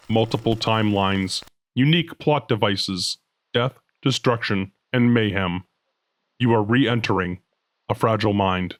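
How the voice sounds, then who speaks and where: Guy doing scripted podcast intro narration, kinda low voice, dramatic announcer read.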